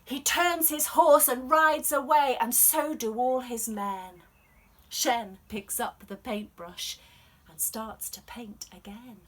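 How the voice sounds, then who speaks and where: A woman reading a children's storybook expressively as a storyteller, dramatic and varied, with hushed passages. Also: fairly high pitch, slow pace.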